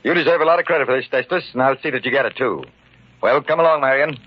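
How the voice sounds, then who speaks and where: A man's voice: medium pitch, quick pace, close to an everyday voice. An actor playing a stern, commanding middle-aged father in a radio mystery, brusque and authoritative. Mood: brisk approval. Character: stern, brisk, commanding father of grown daughter. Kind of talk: old-time radio mystery acting. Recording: muffled, noisy vintage radio recording.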